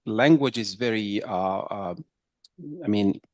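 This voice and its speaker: Man; chatty trainer lecturing a group; low pitch, dry room. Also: slow pace, darkish tone, no echo. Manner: conversational, checks in with "right?". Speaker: intercultural trainer. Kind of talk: workshop lecture with audience.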